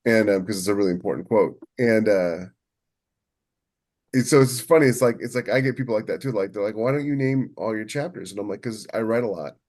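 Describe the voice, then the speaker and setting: A man's voice: on the low side, a little fast, a little mellow. A man co-hosting a podcast, talking casually and in a rambling way about his craft as a writer. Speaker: podcast co-host and novelist.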